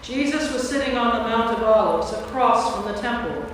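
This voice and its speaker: A woman's voice: a little high, animated, normal speed. A woman narrating a scripted scripture story as part of a staged reading. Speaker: narrator, priest. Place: large reverberant church. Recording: echoey church, distant mic, faint noise.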